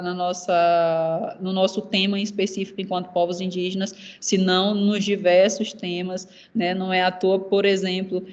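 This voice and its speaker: An earnest young woman from Maranhão in Brazil, whose first language is Zé'eng eté, speaks on a panel at a steady middle pitch with very little melodic movement. She talks haltingly and often repeats fillers while she searches for words. The sound is dull and lo-fi, like a video call. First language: Zé'eng eté (Guajajara language). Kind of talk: personal testimony in a panel talk.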